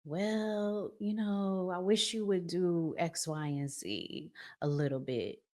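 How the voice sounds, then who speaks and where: A woman with a low voice speaks at a slow, deliberate pace, as a host giving advice straight to her audience. She is conversational but emphatic, repeating key phrases and posing rhetorical questions. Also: dark tone. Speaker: relationship vlog host. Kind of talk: conversational relationship advice monologue.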